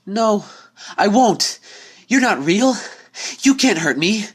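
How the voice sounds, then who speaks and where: A man's voice: sits high, expressive, slow, slightly rough, pitched above the performer's own voice, exaggerated voice. A man voicing an earnest young female unicorn, shaky and easily flustered, in a cartoon fan story reading. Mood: terrified, defiant. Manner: shaky, stammering.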